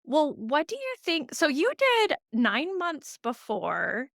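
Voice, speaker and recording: A woman podcast host talks in a lively, swooping way, with a gravelly edge on her lower notes. Her speech is casual and warm, full of filler words, and she sounds close to the mic in a dry room. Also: takes it slowly, no echo. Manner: warm, empathetic, chatty with many "like"s. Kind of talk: casual interview chat.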